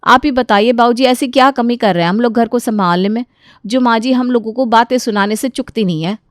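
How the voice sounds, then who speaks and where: A woman's voice: a little high, brisk, no room sound, studio quality, close to an everyday voice. A woman playing a patient, weary elder daughter-in-law, respectful but aggrieved, in a family story.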